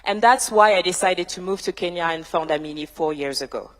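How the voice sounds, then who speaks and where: A woman, a tech founder giving a measured, emphatic panel answer built from rhythmic lists. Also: fairly low pitch. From France. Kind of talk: prepared keynote-style panel answer. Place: large university hall, on stage. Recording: reverberant large hall.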